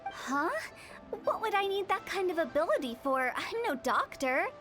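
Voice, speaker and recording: A woman's voice: very high-pitched, sing-song, normal speed. A woman playing a playful, cheeky young funeral director in an anime video game, theatrical and chatty, with music behind. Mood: teasing.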